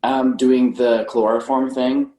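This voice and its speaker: An echoey, roomy recording of a man with an average-pitched voice. He talks casually and a little tentatively, reflecting as one of a podcast panel. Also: level tone, takes it slowly, dark tone. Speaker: podcast panelist reviewing films. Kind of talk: casual film discussion.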